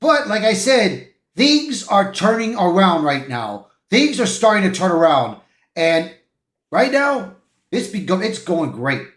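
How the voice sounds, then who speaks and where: High-pitched, animated man in a roomy space. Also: measured pace. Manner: informal, opinionated, punctuated with "bro", "man" and "dude"; stumbles and repeats words when reading. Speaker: livestream host. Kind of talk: reading news articles aloud with casual commentary.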